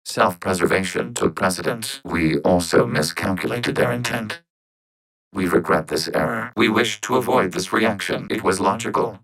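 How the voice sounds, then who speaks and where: An actor playing a calm, logical synthetic robot in a video game, speaking in measured, formal phrases through robotic voice processing. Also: male voice, deep voice, gravelly, poor audio. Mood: calm, factual. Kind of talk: video game character dialogue.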